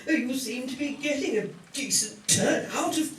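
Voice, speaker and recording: Woman playing a high-voiced, eager village parishioner. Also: measured pace. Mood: earnest. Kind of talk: amateur comic radio play. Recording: echoey room, distant microphone.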